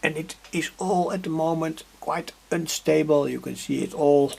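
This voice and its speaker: A man giving an unscripted electronics demonstration, halting as he searches for words, with a husky, creaky voice. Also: fairly high pitch, dark tone. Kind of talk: unscripted workbench demonstration vlog. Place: indoor hobby workbench.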